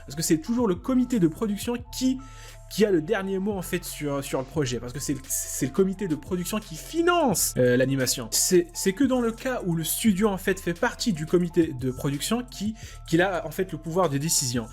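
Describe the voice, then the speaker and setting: A young man hosting an anime reaction video, rambling casually with repeated fillers, casual swearing and excited exclamations. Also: high-pitched, a little fast, soft background music. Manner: excitable, laughs and exclaims while watching. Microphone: desk microphone.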